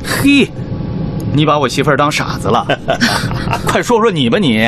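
An actor playing a good-natured male doctor telling stories animatedly in a Chinese radio drama. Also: moderate pace. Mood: teasing. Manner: animated storytelling. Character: good-natured male doctor joking about his tearful wife. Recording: rumbling aircraft cabin noise.

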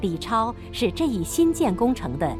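A woman's voice: fairly high pitch, sing-song, moderate pace. A woman narrating a radio drama in a formal, earnest storytelling manner. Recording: music behind the voice.

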